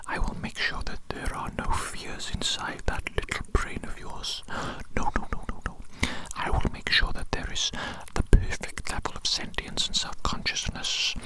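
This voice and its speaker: A performer whispering an ASMR roleplay as a doting, rambling evil scientist, with breathy, repetitive, grandiose and formal phrasing. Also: moderate pace, few pauses, close to an everyday voice. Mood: soothing, grandiose. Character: evil mad scientist doctor, doting, rambling, existentially brooding creator.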